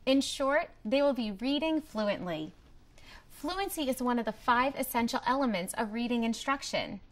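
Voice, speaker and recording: A woman presenting a promotional training video in a scripted, clearly structured explanatory way. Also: on the high side, lively intonation, normal speed. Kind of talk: scripted instructional narration.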